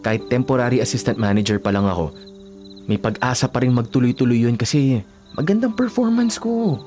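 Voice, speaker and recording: A man acting in a radio drama as a devoted, self-sacrificing young boyfriend and son, gentle and reassuring, with a Filipino accent. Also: mid-range voice, expressive, a little fast, faint music behind, natural voice. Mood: tender, reassuring. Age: young adult.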